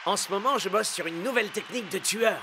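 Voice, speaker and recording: A man voicing a cocky, upbeat young cartoon football player, with loud music behind. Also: high voice, fast talker, noisy background. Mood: boastful. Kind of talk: animated cartoon dub.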